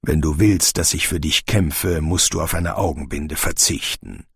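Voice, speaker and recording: A man voicing a stern, dignified army general in an audiobook, speaking in a stiff, measured, terse way. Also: a bass voice, gravelly, breathy edge, no echo, studio quality, pitched below the performer's own voice, full character voice. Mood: stiff, firm.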